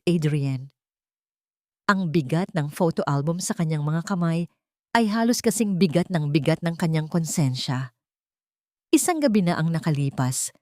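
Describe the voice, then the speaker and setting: A woman's voice: deep voice, a little mellow, no room sound, studio quality. A woman with a Filipino accent narrating a scripted romantic drama as a storyteller.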